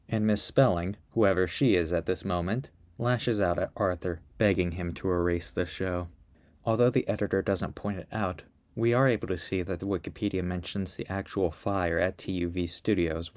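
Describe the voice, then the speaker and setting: Deep-voiced man narrating a review. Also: no echo. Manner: measured, even storytelling delivery. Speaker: video essayist.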